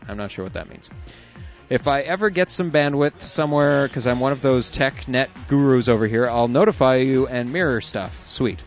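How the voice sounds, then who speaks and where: A man's voice: medium pitch, moderate pace. Laid-back man reading listener emails. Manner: reads emails aloud with chuckles and asides. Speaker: internet radio co-host reading listener emails. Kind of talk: casual on-air chat. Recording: dull lo-fi audio with faint music.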